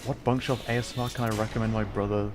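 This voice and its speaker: A man's voice: on the low side, little pitch movement, very fast, music in the background. A man livestreaming a video game, giving casual, rambling commentary with hesitant, amused asides. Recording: noisy stream with game ambience.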